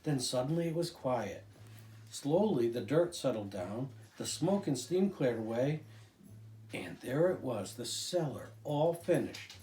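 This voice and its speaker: Older man, dramatic picture-book storyteller; soft-edged mellow tone, roomy echo, music behind. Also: mid-range voice, measured pace, slight background noise. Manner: expressive, dramatic, with occasional stumbles. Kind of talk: animated children's picture book reading.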